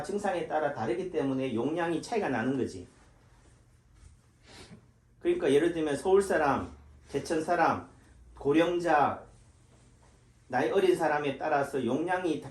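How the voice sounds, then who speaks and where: A middle-aged man from the Gyeongsang region gives an informal health lecture, his voice sitting somewhat high for a man. He keeps asking rhetorical questions and answering them himself. The sound rings around an echoey room. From Gyeongsang region of Korea. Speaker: Korean medicine doctor.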